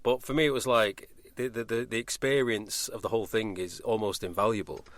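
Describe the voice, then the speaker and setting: A man's voice: lowish voice, fairly even intonation, brisk, fairly bright tone, no room sound. A man hosting a casual podcast, talking in a discursive way and often interrupting himself. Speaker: podcast host, voice actor.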